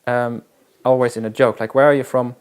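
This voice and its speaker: A man, a wilderness guide, talking in a casual interview in a halting, reflective way with frequent restarts. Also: medium pitch, flat delivery, clean tone. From Netherlands.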